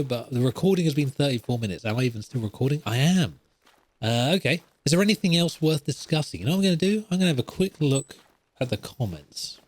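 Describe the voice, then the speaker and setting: A man hosting a gaming podcast, talking in a casual, rambling, self-deprecating way as he thinks aloud. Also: medium pitch.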